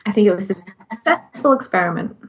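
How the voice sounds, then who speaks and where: A woman co-hosting a podcast in relaxed, casual conversation. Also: mid-range voice, fairly quick, breathy edge, soft background music. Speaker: podcast co-host. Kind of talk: casual chat.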